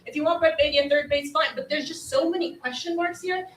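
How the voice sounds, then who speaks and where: A woman's voice: high voice, fast talker, a little hiss or hum. A woman giving conversational sports analysis on a panel, emphatic and repeating key phrases for weight. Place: live stage at a fan convention. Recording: echoey room, distant from mic.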